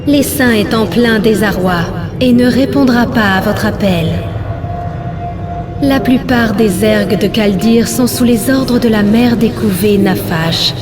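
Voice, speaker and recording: A woman's voice: fairly high pitch, slow. A woman voicing a devoted alien servant of a swarm queen, formal and deferential, in a dramatic science-fiction game. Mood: dutiful reporting. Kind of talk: dramatic video game acting. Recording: long reverb, music behind.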